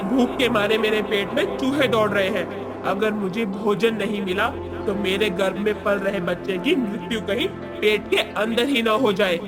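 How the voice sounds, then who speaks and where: A man voicing a bossy, sharp-tongued pregnant witch in a cartoon story, theatrical and taunting. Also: high-pitched, unhurried. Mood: hungry, complaining. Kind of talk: Hindi moral-story cartoon voice acting. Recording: loud music under the voice.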